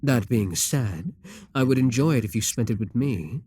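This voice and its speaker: A man's voice: mid-range voice, expressive, normal speed, mellow, husky. A man playing a proud, seductive demon king in an ASMR roleplay, speaking in an imperious, courtly and theatrical manner. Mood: softly coaxing.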